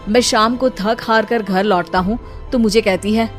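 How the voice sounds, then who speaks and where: An actress voicing a fed-up young husband who complains rapidly to his mother. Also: medium pitch, fairly even intonation, faint music behind, natural voice. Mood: exasperated.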